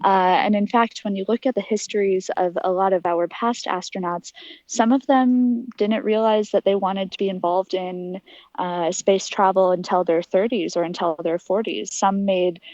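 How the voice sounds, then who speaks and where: Female, early twenties, mid-range voice. Earnest, well-spoken interview guest explaining things clearly, on dry, slightly muffled lo-fi audio. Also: medium pitch, fairly even intonation, normal speed, darkish tone, no room sound. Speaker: interview guest, aspiring astronaut. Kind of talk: articulate interview answers.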